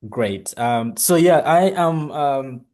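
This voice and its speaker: A man's voice: a little high, lively intonation, slow, darkish tone. A middle-aged man from Uganda giving an academic presentation on a video call, hesitant, with many fillers and restarts. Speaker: guest speaker.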